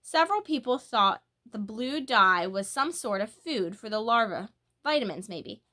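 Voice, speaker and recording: A woman reading a children's book aloud as a storyteller, in an expressive, animated way that leans on key words. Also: fairly high pitch, measured pace.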